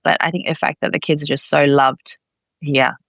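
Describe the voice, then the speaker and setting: A woman chatting casually as an interview guest, expressive and quick to act out dialogue as she tells stories. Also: low voice, creaky, no echo. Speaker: podcast guest, expat parent.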